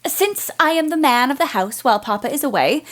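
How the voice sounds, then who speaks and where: A woman's voice: high voice, animated, normal speed, a bit bright. A woman playing a spirited, outspoken teenage tomboy in a period audio drama, blunt and teasing. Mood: bossy, playful. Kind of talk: period radio-play acting.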